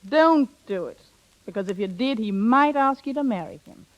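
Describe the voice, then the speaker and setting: An actress playing a scheming, playful older sister in brisk, knowing 1930s radio drama style. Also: female voice, on the high side, animated, highs cut off, close to an everyday voice. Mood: wry, pressing. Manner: brisk, knowing, theatrical, coaxing. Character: bossy, scheming, playful older sister coaching younger one. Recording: vintage 1930s radio broadcast.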